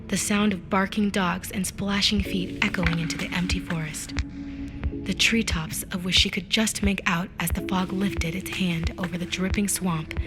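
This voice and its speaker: A woman narrates a literary audiobook in a deliberate, expressive storytelling manner, with phrase endings that drop to a hush. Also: lowish voice. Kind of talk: dramatic literary audiobook reading. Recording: musical effects mixed under the voice. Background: music and effects.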